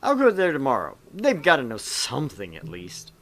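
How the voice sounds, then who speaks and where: A man's voice: mid-range voice, huge pitch swings, moderate pace, a bit bright. A man voicing a bewildered young male student in a visual novel game, muttering in exasperation. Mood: puzzled.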